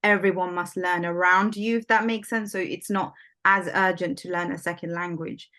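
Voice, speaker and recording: A woman with a lowish voice whose first language is Swahili, from Somalia. She chats loosely and fills her sentences with "like" and "um". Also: steady tone, normal speed, sharp tone. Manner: chatty, many fillers like "like" and "um". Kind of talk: casual conversation.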